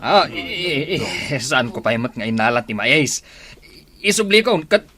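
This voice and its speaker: Man playing a sly, animated old husband. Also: medium pitch, a little slow, heavily acted. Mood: flustered, protesting. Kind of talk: Ilocano radio comedy drama acting.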